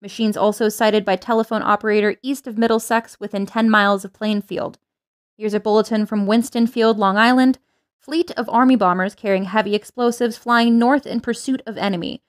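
A serious female announcer for a radio play, acted by a woman. She delivers urgent invasion bulletins formally and with measured gravity. Her voice sits at an average pitch. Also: fairly even intonation, natural voice. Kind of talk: radio drama news bulletin.

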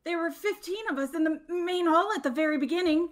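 Casting: woman as anxious bookworm schoolgirl, high voice, stuttering, gloomy game character. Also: brisk. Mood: nervous. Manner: stammering, anxious. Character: nervous, gloomy bookish teenage girl. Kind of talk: amateur visual novel voice dub.

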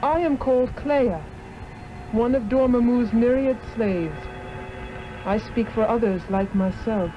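A woman's voice: a little high, a little slow, background noise, lightly acted. Actress as a solemn otherworldly noblewoman, muffled old recording. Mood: solemn warning. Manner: formal, stately, grave. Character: noble otherworldly enslaved woman, solemn and warning. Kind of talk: 1960s radio drama acting.

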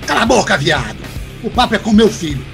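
A man's voice: high voice, big pitch swings, quick pace, creaky, music in the background, heavily acted. A man playing a brutal, threatening middle-aged father in an audio drama, cursing and barking orders. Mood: furious.

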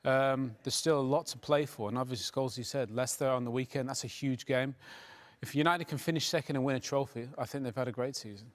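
A man in his forties giving conversational post-match football analysis in a TV studio, speaking through a lapel mic with lots of fillers. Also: mid-range voice. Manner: quick, conversational, filler-heavy. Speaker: studio pundit.

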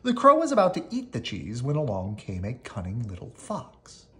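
A storybook reader giving an expressive read-aloud narration for children. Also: medium pitch, normal speed, a little hiss or hum.

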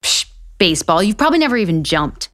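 A woman's voice: lowish voice, fast, no echo, clean studio sound. An actress playing a sarcastic, easily exasperated woman author in a romantic comedy audio drama. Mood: sarcastic, flustered. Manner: sarcastic, excitable.

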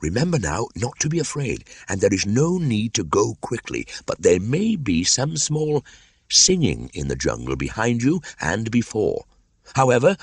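A man's voice: lowish voice, husky, no echo, pitched above the performer's own voice, heavily acted. A man reading a storybook aloud, voicing a stern young jungle-raised boy who speaks in an old-fashioned, commanding way. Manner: stern, archaic speech.

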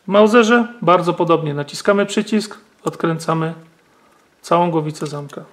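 High-voiced man explaining gun parts. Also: normal speed. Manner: explanatory, frequent fillers and tag questions. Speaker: gun channel host. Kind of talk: hands-on firearm demonstration.

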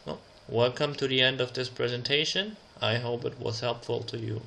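A man narrating an instructional slide presentation as a tutor, reading out example phrases in a deliberate, measured way. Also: mid-range voice, steady tone, vocal fry, sharp tone, a little hiss or hum.

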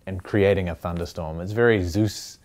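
A man's voice: deep voice, moderate pace, a little mellow. A young man, a designer, talks reflectively in a documentary interview and often restarts his sentences. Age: young adult. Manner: reflective, with frequent restarts and filler "uh". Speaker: designer, studio founder. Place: large indoor design workshop. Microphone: lapel mic.

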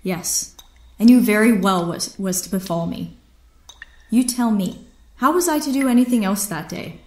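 Radio drama actress as proud imprisoned female captain: defiant, bitter, formal, mid-pitched, in a roomy echo. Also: expressive, moderate pace, a little mellow, lightly acted. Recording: roomy, echoing sound.

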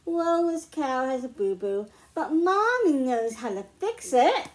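A middle-aged woman reading picture books aloud to young children, explaining slowly and clearly at home. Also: high voice, very animated. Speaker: children's librarian. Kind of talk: storytime read-aloud for kids.

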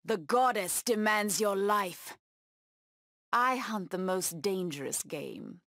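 A woman's voice: medium pitch, expressive, slow pace, a bit bright, dry recording, studio quality. An actress voicing a proud, bloodthirsty cat-woman huntress villain in a video game, with menacing, taunting delivery. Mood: fierce, bloodthirsty.

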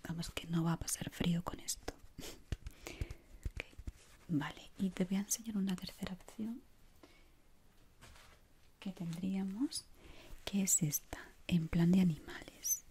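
A woman with an accent from Spain whispers gently and soothingly in a breathy voice, playing an ASMR shop assistant up close to the mic. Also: on the low side. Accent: Spanish from Spain. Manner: soft, gentle, soothing, many diminutives. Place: small indoor room. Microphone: close microphone. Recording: close mic with clear background noise.

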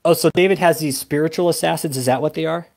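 A man's voice: fairly high pitch, steady tone, fast, vocal fry, a little mellow. A man, probably in his thirties, arguing heatedly as a host, blunt and profane, often cutting in. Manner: blunt, combative, profane, interrupting. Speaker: YouTube host confronting a caller. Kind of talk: heated phone argument. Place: small room at home.

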